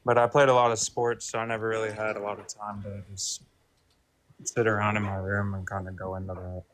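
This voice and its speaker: A man in his twenties calling in, speaking haltingly and softly with lots of fillers. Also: on the low side, flat delivery, noisy background. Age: 20s. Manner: halting, soft-spoken, many fillers. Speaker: caller. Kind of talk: casual call-in talk.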